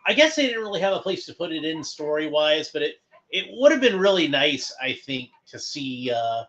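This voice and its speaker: A man hosting a watchalong, giving casual fan commentary in a halting, conversational way, thinking aloud as he goes. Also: on the high side, big pitch swings, a bit bright. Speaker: host of a cartoon watchalong commentary. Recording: dull lo-fi home recording, background noise.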